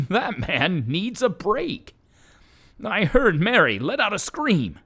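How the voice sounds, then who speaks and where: A man's voice: high voice, huge pitch swings, slow pace, fairly bright tone, breathy edge, heavily acted. A man playing an observant, easily startled donkey who tells his story in a wry, bemused way for a children's audio drama. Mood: amazed, startled.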